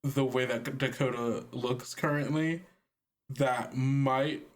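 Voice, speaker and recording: A man's voice: medium pitch, steady tone, takes it slowly. A man running a tabletop game, talking casually with a hesitant, accommodating manner. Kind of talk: casual game narration and discussion.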